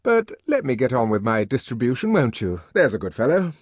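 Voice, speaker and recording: A man reading an audiobook, voicing a fussy young official who gives curt orders and coaxes smoothly. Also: sits high, lively intonation, moderate pace, darkish tone, no room sound, studio quality, pitched above the performer's own voice. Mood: coaxing. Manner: peremptory, then coaxing.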